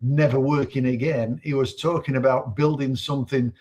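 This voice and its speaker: A man from Manchester, co-hosting a live stream and explaining in a relaxed way. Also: medium pitch, normal speed. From Manchester, England. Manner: relaxed, explanatory, slight restarts. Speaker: co-host. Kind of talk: casual live-stream chat.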